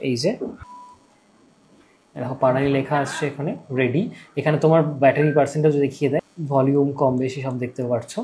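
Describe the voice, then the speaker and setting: A man hosting a motorbike gear vlog, chatting casually and asking quick follow-up questions. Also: fairly high pitch, a little mellow, slight background noise. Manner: rapid, chatty, asks short follow-up questions. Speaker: vlogger host.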